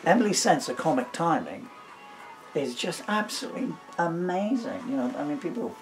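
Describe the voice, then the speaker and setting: A man's voice: fairly high pitch, sing-song, moderate pace, faint music behind. A middle-aged British man chatting casually and reading his own comic writing aloud, rambling and playful as he digresses. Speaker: father, author and stage performer. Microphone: camera mic.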